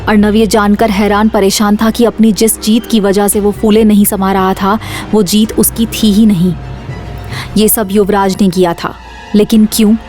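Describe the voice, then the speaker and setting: A woman's voice: medium pitch, level tone, moderate pace, mellow, faint music behind. A woman reading scripted suspense narration for an audio drama series.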